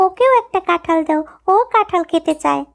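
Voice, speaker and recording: A woman's voice: extremely high pitch, expressive, fairly quick, gravelly, exaggerated voice. A woman voicing a generous little animal daughter in a children's cartoon, childlike and insistently pleading.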